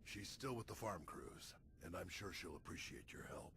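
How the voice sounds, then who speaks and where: Deep, gravelly old Jedi master. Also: male voice, lively intonation, noisy background. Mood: calm, practical. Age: older. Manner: measured, philosophical mentoring. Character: elderly wise male Jedi master, patient and solemn. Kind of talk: video game cutscene acting.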